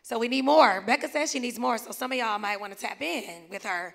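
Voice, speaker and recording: A woman's voice: a little high, fairly quick, creaky, a bit bright. A woman moderating a live conference panel on stage with a handheld mic, playful and teasing as she talks to guests and the crowd. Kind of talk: lively live panel hosting.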